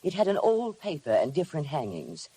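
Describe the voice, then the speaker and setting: A woman playing a stiffly formal, quietly menacing housekeeper in a 1950s radio drama, speaking with measured deference. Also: sits low, wildly swooping pitch, slightly rough, full character voice. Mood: coldly formal. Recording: noisy vintage 1950 radio broadcast.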